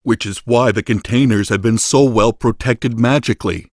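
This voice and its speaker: A man's voice: mid-range voice, steady tone, moderate pace, a little mellow, no room sound, clean studio sound, close to an everyday voice. A man playing a grave, practical mage in an audiobook drama. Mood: grave concern.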